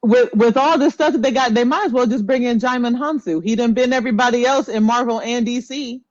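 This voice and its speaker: A man's voice: extremely high pitch, a little fast, dry recording. An American man with an opinionated, teasing manner, bantering casually as a podcast co-host. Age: 30s to 40s. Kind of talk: casual banter about comic book films. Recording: dull, lo-fi close sound.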